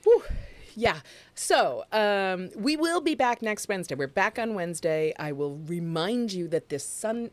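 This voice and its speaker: A woman co-hosting a casual livestream, chatty and rambling, often laughing. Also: sits low. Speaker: podcast co-host.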